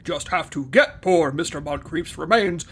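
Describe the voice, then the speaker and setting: A man's voice: on the high side, animated, moderate pace, a bit bright, breathy edge, heavily acted. A man playing a nervous, bumbling ambulance attendant who stammers, in a comedic audio drama. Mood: flustered. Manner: stammering, flustered.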